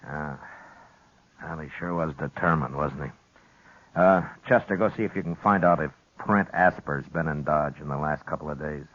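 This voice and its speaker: An actor playing a steady, weary, authoritative American frontier marshal in a 1950s radio western, measured and laconic. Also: male voice, rumbling low, no room sound. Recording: dull lo-fi old radio recording.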